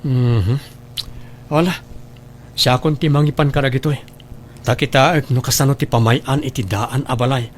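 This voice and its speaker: A man's voice: mid-range voice, steady tone, normal speed, long pauses, close to an everyday voice. A man playing a caring, responsible elder brother and son in an Ilocano radio drama, earnest and solicitous. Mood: concerned.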